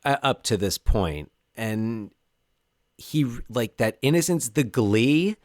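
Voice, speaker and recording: Man co-hosting a podcast, lively restarts. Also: medium pitch, takes it slowly, no room sound, clean studio sound. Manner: animated, frequent restarts. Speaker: podcast co-host. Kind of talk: casual chat.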